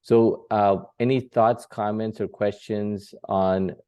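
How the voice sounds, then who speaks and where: A man hosting an informal religious class, talking in short, halting phrases. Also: fairly low pitch, fairly even intonation, warm tone, clear, resonant tone, dry recording. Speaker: session host.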